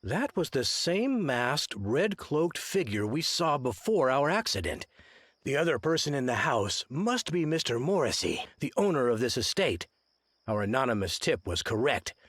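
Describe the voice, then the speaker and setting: Gentleman private detective for an adventure game: articulate, theatrical, crisp and lively in pitch. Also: moderate pace, no room sound. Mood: urgent, intrigued. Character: earnest, observant male private detective, gentlemanly. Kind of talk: scripted adventure game dialogue.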